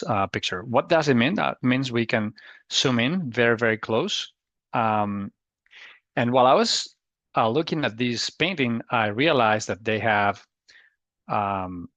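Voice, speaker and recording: A man with a Spanish accent gives a webinar talk over a video call, his delivery halting with frequent restarts and fillers. His voice sits at a middle pitch and sounds dry and close, with no room around it. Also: a bit bright, dry recording. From Spain. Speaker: guest presenter. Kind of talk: webinar presentation. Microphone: computer mic on a video call.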